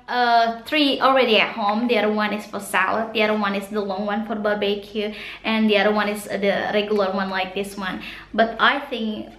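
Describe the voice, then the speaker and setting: A woman's voice: fairly high pitch, a bit bright, echoey room, poor audio. A woman in her thirties from Indonesia with an Indonesian accent, Sundanese her first language, chatting through a casual shopping haul and gushing over products. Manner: chatty, laughs often, gushes over products. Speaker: vlogger. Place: small room indoors.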